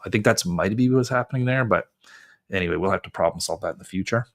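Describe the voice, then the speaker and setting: A man's voice: deep voice, fast. A man hosting a live call-in show as a lawyer, giving advice in a casual, conversational way. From Canada. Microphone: close mic, wearing headphones.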